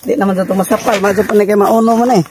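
A man's voice: sits high, fairly even intonation, fast talker. A man working outdoors, chatting in a sing-song, questioning way during work. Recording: distant voice with faint outdoor noise.

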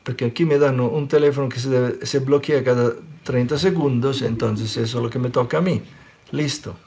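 A man in his forties from Sicily who speaks with an Italian accent, giving an animated spiritual talk in an online question-and-answer session and often checking in with listeners. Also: mid-range voice, moderate pace. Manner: animated, gestures a lot, checks in with listeners by asking "ok?". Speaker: spiritual teacher. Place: small room indoors. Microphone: webcam close up.

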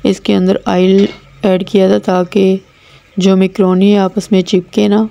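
Pakistani woman with a gravelly, flat voice. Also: mid-range voice, unhurried, muffled recording. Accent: Pakistani Urdu. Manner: plain, repetitive instructional delivery. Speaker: cooking channel host. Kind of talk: step-by-step recipe voice-over. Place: home kitchen.

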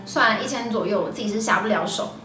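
A woman's voice: medium pitch, fast talker. A woman in her twenties with a Taiwanese Mandarin accent, hosting a scripted recommendation vlog. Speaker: video host. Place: small indoor room. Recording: echoey room, slightly distant mic. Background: background music.

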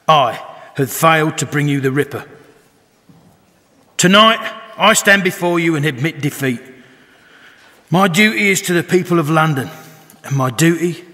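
An actor playing a grave, defeated Victorian chief inspector, declaiming solemnly to the press in an audio drama. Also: male voice, a little high, bright, forceful tone. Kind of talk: audio drama acting, public speech. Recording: long hall-like reverb.

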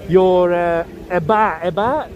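A man's voice: sits high, slow pace, highs cut off. A middle-aged man questioning a passer-by in a busy park, in a teasing, pressing way with a put-on foreign accent. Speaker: street debater filming passers-by. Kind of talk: confrontational street questioning. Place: busy city park outdoors. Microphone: handheld phone camera, close. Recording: loud outdoor noise, crowd around.

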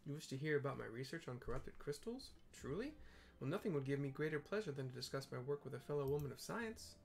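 A man's voice: medium pitch, expressive, quick pace, creaky. A man voicing a pompous, wordy professor from a fantasy game, with theatrical scholarly diction, over game music. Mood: pleased, pompous. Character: pompous, wordy male professor of crystal science. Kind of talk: reading game dialogue aloud.

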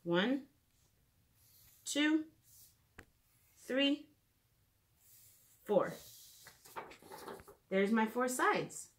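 A woman in her thirties reading aloud and leading a drawing lesson for preschoolers in a clear, simple storytelling manner, recorded in a room at home. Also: fairly high pitch, expressive, extremely slow, pauses often, a little hiss or hum. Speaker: children's educator reading and drawing. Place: small room at home, indoors.

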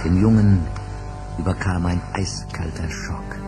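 Deep-voiced male radio play narrator. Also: steady tone, measured pace. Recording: music bed under narration.

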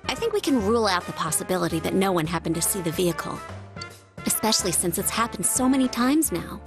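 A polite, thoughtful teenage girl in a video game. She talks fast, with lively rises and falls, over clearly audible music. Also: mid-range voice. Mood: reasoning. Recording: music under the dialogue.

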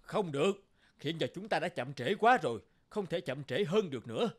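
A man's voice: on the high side, animated, normal speed. A man voicing a middle-aged samurai lord in a cartoon, authoritative and urgent. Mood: urgent, stern.

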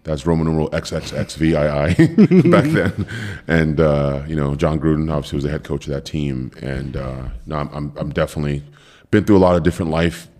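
A man's voice: extremely low pitch, a little fast, a little mellow. A middle-aged man from Cameroon, a podcast guest, talking loosely with fillers and repeated words. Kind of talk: relaxed conversational interview.

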